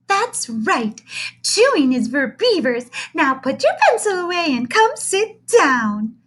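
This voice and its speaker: A woman's voice: sits high, sing-song, takes it slowly, voice pushed up, full character voice. A woman voicing a cheerful, gushing kindergarten teacher with a sing-song, chirpy manner.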